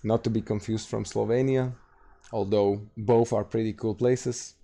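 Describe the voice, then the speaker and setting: A Slovak-accented man hosts a gaming livestream late at night, chatting loosely with viewers. His voice sits low and mellow with a gravelly fry, and he stumbles through fillers and restarts as he reads out chat. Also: fairly even intonation, measured pace. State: tired, streaming late at night. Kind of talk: casual livestream chat, answering viewer questions.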